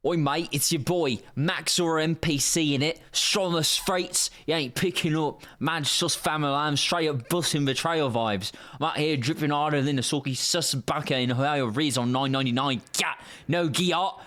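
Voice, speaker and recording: A man's voice: on the high side, normal speed, hardly any pauses, fairly bright tone, natural voice. A man voicing a cocky young British game NPC who spouts exaggerated slang in a comic voicemail. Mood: cocky, brash. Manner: brash, slang-heavy, exaggerated. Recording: background clatter of dishes and water.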